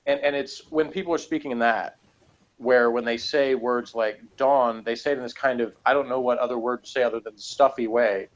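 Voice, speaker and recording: Male podcast reviewer, dull muffled lo-fi recording, middling pitch, halting and rambling, self-correcting, casual conversation. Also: steady tone.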